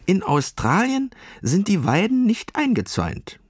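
A bold female sheep dreaming of piracy, acted by a man. The voice is lifted well up in pitch and calls out eagerly. It has the lively swing of a children's audio story. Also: unhurried, over music, heavily acted. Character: eager, adventurous female sheep, would-be pirate.

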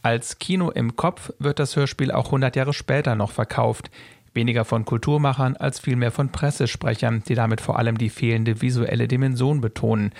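Male radio reporter, mid-pitched with creaky fry, scripted narration, dry close studio sound. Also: fairly even intonation, moderate pace, darkish tone, dry recording.

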